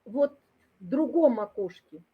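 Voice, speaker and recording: A woman presenting at a conference, unsure of the software and asking repeated questions over a video call. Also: fairly high pitch, lively intonation, a little slow, husky. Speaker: conference speaker. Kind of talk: casual chat on a video call. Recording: dull lo-fi call audio.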